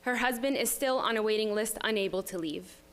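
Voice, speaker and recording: A woman's voice: medium pitch, fairly quick, fairly bright tone. A middle-aged woman delivering a prepared public comment speech to a council as a local resident. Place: indoor public meeting room at a community center. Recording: reverberant meeting hall.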